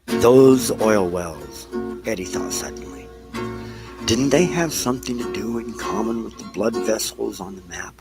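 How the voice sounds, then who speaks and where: A man with a fairly low voice narrates a dramatic story. His delivery is halting, with the odd stumble and repeated phrase. Faint music plays underneath.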